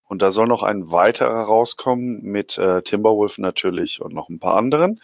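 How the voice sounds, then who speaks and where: Hoarse, gravelly man chatting excitedly. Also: fairly low pitch, normal speed, no room sound, clean studio sound. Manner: excited, rambling, jokey. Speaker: podcast co-host. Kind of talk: enthusiastic casual chat.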